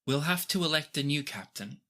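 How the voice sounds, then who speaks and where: A game master voicing a grouchy, blank-faced helmswoman in a tabletop game, speaking in a blunt, deadpan, clipped way. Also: mid-range voice, fairly quick. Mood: flat, firm.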